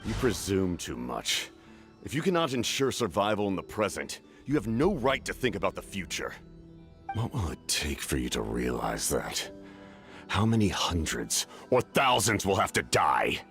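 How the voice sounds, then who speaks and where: Very deep, grim commander. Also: male voice, very animated, moderate pace, a bit bright, soft background music, exaggerated voice. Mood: stern. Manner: stern, intense, grave. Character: grim, forceful male military commander, haunted survivor. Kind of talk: scripted fantasy game acting.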